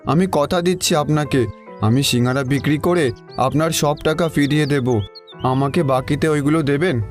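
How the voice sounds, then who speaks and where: Man voicing an earnest young cartoon samosa seller, higher-pitched. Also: moderate pace, soft background music, lightly acted. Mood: earnest pleading. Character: Rahim, humble honest young male samosa seller, earnest. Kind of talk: cartoon story dialogue.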